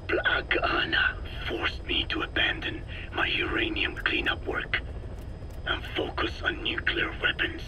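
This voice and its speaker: An actor playing a frail, regretful old scientist in a video game, with a weak, breathy rasp and formal, halting delivery. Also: male voice, medium pitch, pushed and bright, exaggerated voice, low-quality recording. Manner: slow, deliberate, formal, halting phrases. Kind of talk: video game dramatic dialogue.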